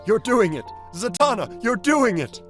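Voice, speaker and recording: Guy playing gentle dad, soothing, slow talker w big swings in pitch, audio drama, music behind. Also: sing-song, full character voice. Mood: elated. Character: gentle, reassuring father of a young girl. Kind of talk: scripted audio drama acting.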